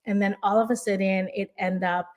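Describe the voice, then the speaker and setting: A woman's voice: medium pitch, steady tone, fairly quick, darkish tone. A Filipino-accented woman from the Philippines, a real estate investor and coach, giving an earnest, encouraging motivational speech, restarting and repeating phrases as she goes. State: tired.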